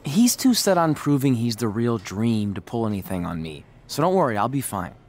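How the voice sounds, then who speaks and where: An actor playing an eager, self-assured young wrestler in a video game cutscene, upbeat and a little self-absorbed. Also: male voice, mid-range voice, very animated, normal speed, a little mellow. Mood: eager, confident.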